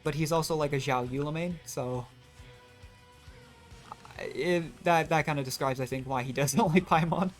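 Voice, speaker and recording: A man's voice: a little high, moderate pace, pauses often. A young man giving casual, rambling live commentary as a game streamer. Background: game music.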